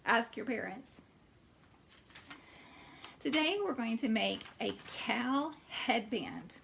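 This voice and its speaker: A woman hosts a children's storytime in a fairly high, very animated voice. She explains things simply and asks young listeners questions, with creak in her voice. There is faint noise in the recording. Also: slow. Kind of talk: animated storybook read-aloud and craft talk for children.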